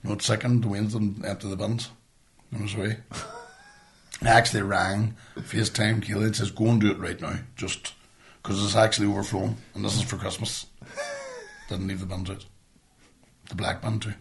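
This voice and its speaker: A man's voice: on the low side, very animated, moderate pace, gravelly, pauses often. A middle-aged man with a Northern Irish accent co-hosting a podcast in casual, blunt, sweary chat. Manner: sweary, blunt, ranting about everyday life. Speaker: podcast co-host.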